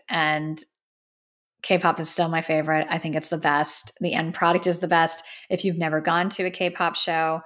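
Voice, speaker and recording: A woman in her thirties from the United States, hosting a solo podcast and giving her opinions in an earnest, emphatic, conversational way. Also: lowish voice. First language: English. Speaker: podcast host. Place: indoor studio with a plain backdrop.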